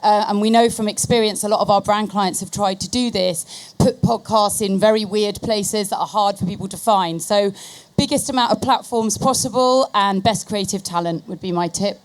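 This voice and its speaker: A woman's voice: medium pitch, moderate pace. A woman speaking on a panel as a media agency expert advising brands. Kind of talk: panel discussion talk.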